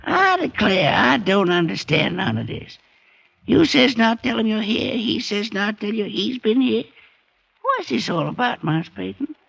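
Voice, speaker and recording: Picture a high, gravelly old Southern freedman with a slow folksy drawl, humble on the surface but with something ominous under it. His voice comes through a muffled old radio broadcast. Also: big pitch swings, fairly bright tone, exaggerated voice. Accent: American Southern. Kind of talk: 1940s radio acting.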